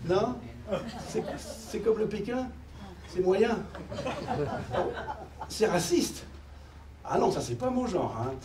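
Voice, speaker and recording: A man's voice: sits high, sing-song, slow pace, long pauses, over a noisy crowd, heavy background noise. A middle-aged man doing musical stand-up comedy on a small theater stage, chatty and self-mocking as he plays a keyboard. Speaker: comedian and pianist. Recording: echoey theater, distant.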